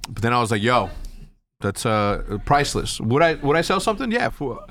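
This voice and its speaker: A man's voice: mid-range voice, big pitch swings, normal speed, a bit bright, slight background noise. A young man hosting a podcast in casual chat, loose and joking, often restarting his sentences. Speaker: podcast host.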